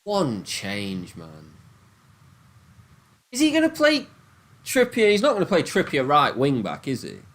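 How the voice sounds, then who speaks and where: Lively ranting man with a Nottingham accent. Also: fairly high pitch, measured pace, long pauses. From Nottingham, England. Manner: animated, swearing, ranting. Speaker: livestreamer chatting with viewers. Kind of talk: casual live-stream chat.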